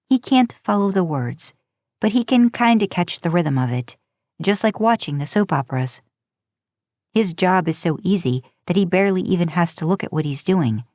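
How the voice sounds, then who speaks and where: Woman, lowish voice, scripted story narration, fiction reading, very dry sound. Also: a little mellow, no room sound. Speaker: podcast host narrating fan fiction.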